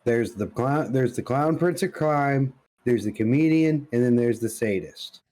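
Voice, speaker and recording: A man with an average-pitched voice chats as a podcast co-host. His talk is casual and informal, with an insistent way of making his points. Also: expressive, unhurried, dark tone. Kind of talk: casual pop-culture chat.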